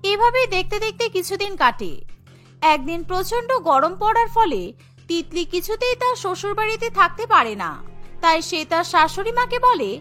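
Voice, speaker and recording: Very high, bright female story narrator. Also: sing-song, moderate pace, faint music behind. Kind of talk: scripted cartoon story narration.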